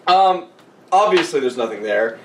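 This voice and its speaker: A man's voice: medium pitch, moderate pace. Rambling sweary man in an echoey room. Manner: rambling, animated retelling with mimicked dialogue. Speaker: podcast co-host and army veteran telling a story. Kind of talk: casual storytelling among friends, sweary. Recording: roomy echo, distant mic, faint noise.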